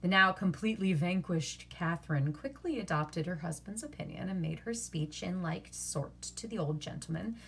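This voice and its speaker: A young woman reads a tale aloud as an expressive storyteller. Her voice sits a little low and has a husky edge, picked up by a computer mic in a small bedroom. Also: moderate pace, few pauses. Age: young adult. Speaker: actor and teaching artist reading a story.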